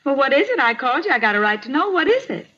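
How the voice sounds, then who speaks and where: A woman's voice: sits high, sing-song, rapid-fire. A woman playing a nosy, self-righteous American manager of a cheap hotel in a 1950s radio drama, folksy and insistent. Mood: demanding. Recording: dull, noisy 1950s radio recording.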